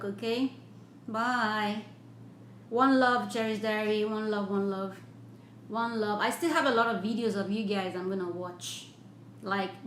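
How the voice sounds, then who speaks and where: A woman hosting a live stream, reading viewer comments aloud and talking earnestly about charity and loving people. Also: mid-range voice. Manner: warm, effusive, blesses viewers at length; earnest, repetitive preaching tone. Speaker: livestream host. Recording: echoey room with faint ambient noise.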